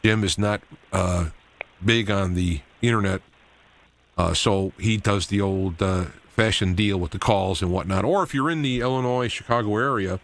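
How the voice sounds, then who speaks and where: Male talk show host, fairly low voice, unscripted and meandering, halting phrasing. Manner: rambling, halting delivery.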